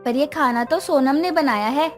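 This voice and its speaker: A woman's voice: high-pitched, moderate pace, soft background music, lightly acted. A woman acting a meek, long-suffering middle-aged wife and mother in a narrated moral story. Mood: resigned.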